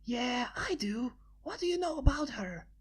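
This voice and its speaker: A woman's voice: sits high, lively intonation, a little slow, breathy edge, heavily acted. A woman voicing a brash, cocky young female pegasus pony in a fanfic reading. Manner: brash, demanding questions. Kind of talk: fanfic reading, all voices by one reader.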